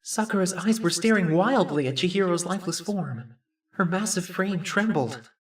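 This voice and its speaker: A woman's voice: fairly low pitch, expressive, moderate pace, recorded from a distance, lightly acted. A woman voicing an earnest teenage schoolboy who narrates his story in an anime fan dub. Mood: earnest narration.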